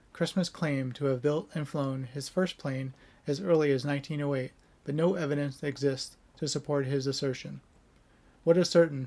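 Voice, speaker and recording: A middle-aged man in a home room with bookshelves, speaking with a somewhat monotone delivery, first chatting casually, then reading scripted history narration. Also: on the high side. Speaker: history video creator.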